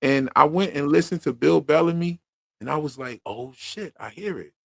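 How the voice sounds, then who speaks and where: A man's voice: fairly high pitch, lively intonation, normal speed, vocal fry, fairly bright tone, breathy edge, no echo. A man from Pennsylvania with a Philadelphia accent, hosting a casual livestream chat in a slangy, laid-back way full of fillers. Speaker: podcast host.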